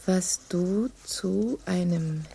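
An actress playing a cool, bored fiancée reading out a reproachful letter with pointed deliberation in an audio drama. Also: female voice, lowish voice, very slow, gravelly, dark tone, slight background noise, full character voice.

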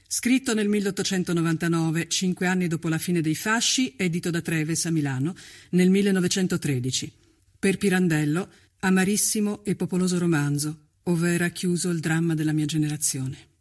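A woman's voice: lowish voice, normal speed, darkish tone. A woman delivers formal, scripted narration for a documentary. She reads out citations and dates in an announcing style.

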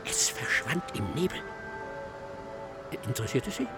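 An actor playing an excitable, self-absorbed male scholar in a German radio drama, lecturing insistently and theatrically. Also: medium pitch, normal speed, over music. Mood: hushed, ominous. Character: excitable, self-absorbed male scholar expounding his dark ghost-ship thesis.

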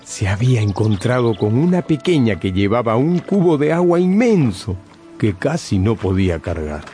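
A man's voice: medium pitch, big pitch swings, normal speed, warm tone. A man narrating a scripted radio drama as a dramatic storyteller. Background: background music.

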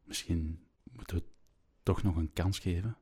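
An actor playing an emotional, sheepish husband in a break-up, with a Flemish accent, in a comedic radio play. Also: male voice, low-pitched, normal speed, a little mellow, husky. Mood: tender. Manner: stammering, emotional.

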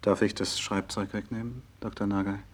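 An actor in a 1960s radio drama playing a serious, respectful doctor, with a low husky voice and a measured delivery. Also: male voice, level tone. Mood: gentle, respectful. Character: concerned, respectful male doctor and visitor, also recounting events solemnly.

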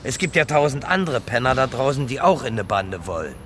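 A man's voice: medium pitch, very animated, a little fast, a bit bright. An actor playing a hapless homeless man in a radio play, earnestly reporting in plain, colloquial point-by-point talk. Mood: earnest, puzzled. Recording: clear outdoor street noise behind.

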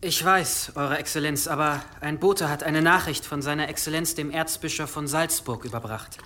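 A man's voice: on the high side, normal speed, sharp tone, slight background noise. An actor playing a meek, formal male servant to a bishop in a radio drama, careful and hesitant. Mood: deferential, nervous. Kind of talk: German radio drama acting.

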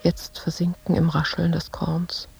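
A woman's voice: fairly low pitch, monotone, a little slow, creaky, darkish tone, breathy edge, full character voice. A woman playing a melancholy, dreamy, independent young woman in an introspective, poetic radio play monologue. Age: young adult.

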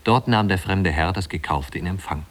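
Brief: male narrator played by an actor, very low voice, wry and leisurely, looking back on small-town youth in a vintage radio play. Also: normal speed, natural voice. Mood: wry, reminiscing. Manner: leisurely, wry, conversational storytelling. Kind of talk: 1950s German radio drama narration.

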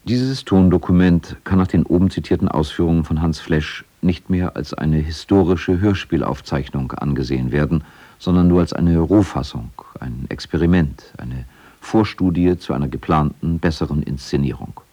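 Deep-voiced, formal male feature narrator. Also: moderate pace, dark tone. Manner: formal, factual. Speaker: documentary narrator. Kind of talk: scripted documentary narration.

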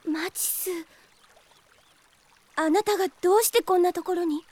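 A woman playing a gentle, polite, earnest young healer in a Japanese radio drama. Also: very high voice, moderate pace. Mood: startled. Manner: soft-hearted, polite, earnest. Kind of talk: Japanese anime-style radio drama acting.

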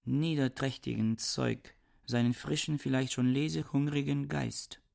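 A man's voice: medium pitch, fairly even intonation, unhurried, gravelly, darkish tone. An actor playing a pedantic, reclusive male scholar who muses drily to himself in a radio drama. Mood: disdainful musing.

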